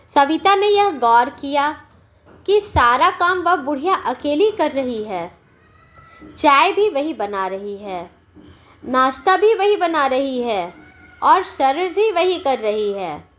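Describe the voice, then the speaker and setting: A woman narrates a scripted moral tale. Her voice is very high and animated in pitch. She reads expressively and takes her time over each phrase. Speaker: storyteller.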